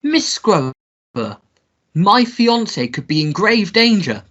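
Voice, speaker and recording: A woman playing a pompous young gentleman suitor in a melodramatic radio play, with a theatrical, drawn-out manner. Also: medium pitch, big pitch swings, dull audio, full character voice. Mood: put out. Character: pompous young gentleman suitor, self-satisfied, easily outraged.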